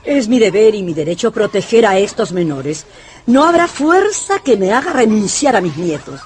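A woman's voice: mid-range voice, very animated, normal speed, darkish tone, slight background noise, natural voice. An actress playing a stern, imperious old grandmother in a radio drama, firm and commanding. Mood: stern, resolute. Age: older.

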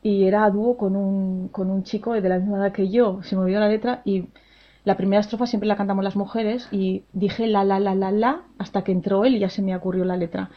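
A woman's voice: medium pitch, fairly even intonation, fairly quick. Chatty cheerful woman, lo-fi radio sound. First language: Spanish. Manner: cheerful, chatty, laughs often. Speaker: opera singer. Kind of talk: casual interview answers.

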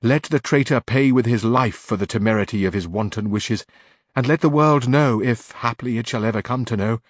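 A man's voice: on the low side, dry recording, studio quality, natural voice. A man reading the part of a proud, vengeful noblewoman in a storybook, impassioned and declamatory.

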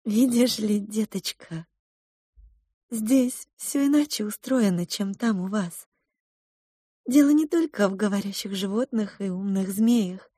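A woman voicing a melodramatic female royal cook who sighs and speaks in hushed, drawn-out phrases for a storybook reading. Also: mid-range voice, breathy edge, dry recording, studio quality, voice pushed up. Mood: sighing, hushed. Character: fretful, melodramatic junior royal cook, a mother.

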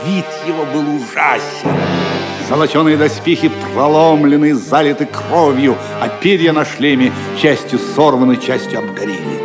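A man's voice: fairly high pitch, moderate pace. A man narrating an audio drama from a script in a solemn, theatrical manner. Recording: music clearly behind the narration.

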